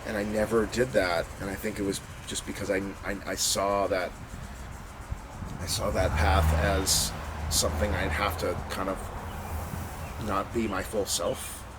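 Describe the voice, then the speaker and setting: A young man from the Boston suburbs with a very low voice talks through his thoughts in loose, reflective chat, circling back and restarting his sentences. The home recording carries a steady hiss of noise behind him. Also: measured pace. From suburbs of Boston, USA. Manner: rambling and reflective, with many restarts. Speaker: interview guest. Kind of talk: casual conversation.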